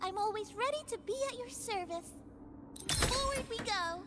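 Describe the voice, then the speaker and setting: A woman's voice: very high voice, animated, normal speed. A woman voicing a small, cheerful helper creature in a video game, polite and eager to serve. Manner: polite, chirpy, servile. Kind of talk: game character acting. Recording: background music over the voice.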